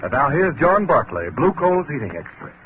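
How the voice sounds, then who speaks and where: A man's voice: a little high, big pitch swings, moderate pace, soft background music. A man making scripted announcements as a 1930s radio announcer. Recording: tinny, hissy 1930s radio recording.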